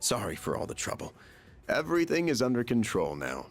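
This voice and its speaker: Polite research chairman, video game, genial, explanatory, animated pitch, music behind. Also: a little fast. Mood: relieved. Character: polite adult male chairman of a secret research group.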